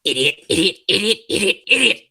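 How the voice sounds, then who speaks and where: A man's voice: high voice, big pitch swings, a little fast, bright, forceful tone, slightly rough, full character voice. A man playing a sulky, self-deprecating, clumsy young male student in an audio drama, whiny and hesitant. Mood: whiny, exasperated.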